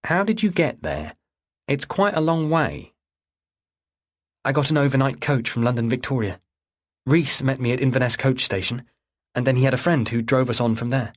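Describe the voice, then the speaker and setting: A man voicing a distressed sixteen-year-old girl answering police questions in a halting, defensive way in an audiobook. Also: medium pitch, animated, natural voice. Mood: explaining.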